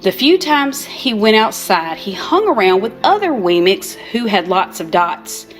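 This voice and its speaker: An older woman reading a children's storybook aloud in an expressive, unhurried storytelling manner. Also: medium pitch, faint music behind. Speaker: storybook reader.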